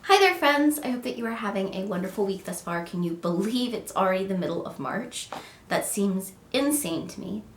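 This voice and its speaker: A woman's voice: medium pitch, animated, moderate pace, reverberant, slight background noise. Chatty young woman with a stuffy cold. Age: young adult. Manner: chatty and bubbly, lots of "um" and "like". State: nasal from a cold. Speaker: vlogger. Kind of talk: casual vlog chat.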